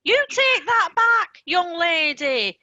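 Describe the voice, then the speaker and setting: A woman's voice: extremely high pitch, sing-song, slow, pushed and bright, no echo, highs cut off, heavily acted. A woman playing an indignant, doting, tipsy elderly widowed mother in a comedy radio play.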